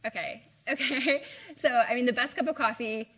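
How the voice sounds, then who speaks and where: A woman's voice: a little high, normal speed, a bit bright, slightly rough, low-quality recording. A young woman from the American Midwest giving an informal live talk to a small university group, chatty with plenty of "like" and "um". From Midwest US (Indiana). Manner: chatty, lots of "like" and "um". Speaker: guest speaker. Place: university lecture room.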